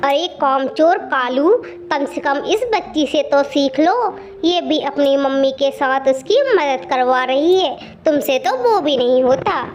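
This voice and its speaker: A woman's voice: high-pitched, measured pace. A woman voicing a bossy, nagging female crow in a children's cartoon, scolding and complaining. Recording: muffled with faint background noise.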